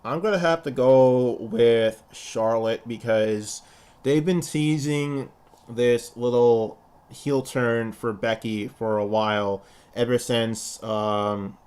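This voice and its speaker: A man's voice: medium pitch, fairly even intonation, slow pace, a little mellow, clean tone. A young man with a lisp, co-hosting a casual wrestling prediction chat and rambling with repeated words. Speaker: podcast co-host.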